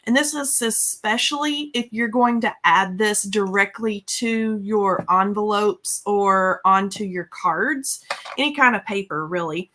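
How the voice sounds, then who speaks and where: A stuffy-nosed woman in her forties hosts a craft stream from her home craft room. She chats loosely and rambles, restarting her sentences and filling pauses as she works. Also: medium pitch, sharp tone. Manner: chatty and rambling, with many fillers and restarts. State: congested from a cold. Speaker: craft livestream host. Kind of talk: casual craft chat.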